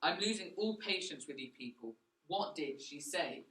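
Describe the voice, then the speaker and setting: A teenage boy plays a blunt police inspector in a radio play. His voice is sharp and a little high, impatient, ringing in an echoey room. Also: animated, moderate pace. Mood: harsh, impatient. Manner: forceful, impatient. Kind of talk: school radio play acting.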